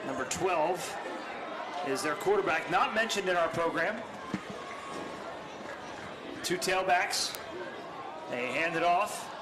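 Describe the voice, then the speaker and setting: A man calling high school football play by play in a brisk, factual manner. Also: animated, crowd noise. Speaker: play-by-play commentator. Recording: noisy live stream audio.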